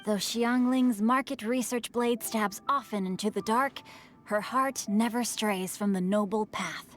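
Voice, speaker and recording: A woman's voice: fairly high pitch, measured pace, faint music behind, close to an everyday voice. A woman voicing a refined, playful, bookish teenage boy in a video game, with a florid, genteel manner.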